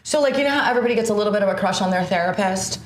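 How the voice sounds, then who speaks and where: A woman's voice: mid-range voice, rapid-fire, echoey room. A young woman co-hosting a podcast as a stand-up comic, talking bluntly and jumping between ideas in casual chat.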